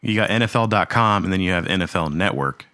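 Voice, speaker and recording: A man's voice: low-pitched, fast talker, pushed and bright, no room sound, clean studio sound. A man co-hosting a casual podcast, making jokey asides. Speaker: podcast co-host. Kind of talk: casual chat.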